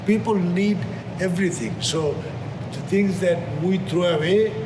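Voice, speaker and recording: A man's voice: high-pitched, unhurried. Bangladeshi-born man, middle-aged, earnest charity director; distant mic, echoey space. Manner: earnest, passionate, many restarts. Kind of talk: interview answers. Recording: echoey hall, far from the mic, noisy.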